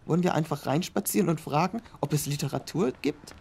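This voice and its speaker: Man playing a gravelly skeptical student. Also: fairly high pitch, a little fast, a little mellow, slight background noise. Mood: reasoning. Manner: doubtful, questioning. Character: young male student, skeptical sidekick. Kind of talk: German fan audio drama.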